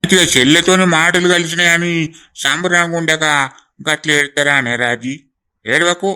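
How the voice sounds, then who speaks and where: A man's voice: on the high side, moderate pace, bright, forceful tone, clear, resonant tone. A man with a Telangana accent acting a teasing, sociable brother-in-law in a rural family drama, bantering and complaining in mock grievance.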